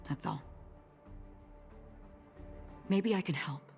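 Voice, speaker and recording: An actress playing a calm, enigmatic wandering swordswoman in a video game, speaking in a composed, measured and cryptic way. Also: lowish voice. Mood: composed, probing. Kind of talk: dramatic video game voice acting. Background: game music.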